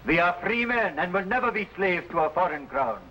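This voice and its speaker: Defiant rebel archer, animated, archaic declamation, proud, vintage adventure serial, faintly noisy recording. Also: male voice, high-pitched, a little fast, dull audio. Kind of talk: old-time adventure serial acting. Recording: noisy old broadcast recording.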